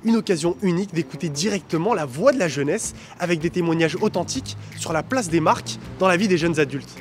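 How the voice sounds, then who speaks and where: A man's voice: fairly high pitch, animated, fairly quick. A man reading a scripted introduction as a presenter. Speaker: presenter introducing street interviews. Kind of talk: scripted narration. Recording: faint music behind the voice. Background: soft background music.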